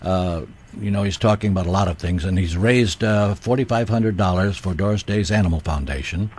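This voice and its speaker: A man's voice: low voice, a little fast, a little mellow, slightly rough. A male radio host chatting casually, laughing often and passing along messages from listeners. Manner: friendly, laughs often, relays fan messages. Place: radio studio.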